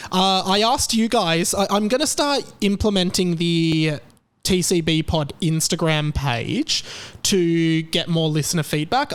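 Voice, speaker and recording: Rambling Australian man podcasting solo. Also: fairly high pitch. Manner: rambling, self-mocking, many fillers and restarts. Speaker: podcast host. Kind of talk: casual solo podcast chat.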